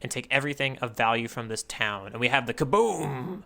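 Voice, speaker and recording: A man's voice: mid-range voice, lively intonation, a little fast, creaky, sharp tone. A man co-hosting a podcast in casual chat, wry and often stopping to correct himself. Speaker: podcast co-host.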